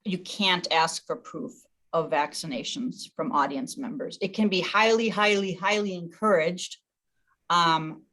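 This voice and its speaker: Bright-voiced woman leading a meeting. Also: on the low side, unhurried. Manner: casual, conversational, thinking aloud. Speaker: meeting leader. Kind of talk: informal explanation in a staff meeting.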